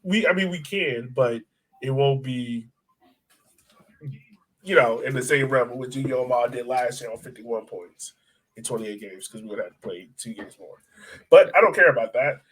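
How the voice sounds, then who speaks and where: A man's voice: mid-range voice, normal speed, a bit bright, husky, low-quality recording. A man co-hosting a soccer podcast in casual, slangy, conversational sports chat.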